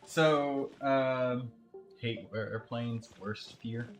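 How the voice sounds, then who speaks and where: A man chatting casually as a game streamer, telling a story in a rambling, halting way. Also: medium pitch, fairly even intonation, faint music behind, low-quality recording. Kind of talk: casual livestream chat.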